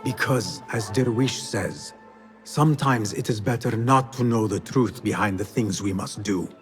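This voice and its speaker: An actor playing a courteous, guarded young male assassin in a video game, speaking in a measured, restrained way. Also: lowish voice, soft background music, lightly acted. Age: young adult.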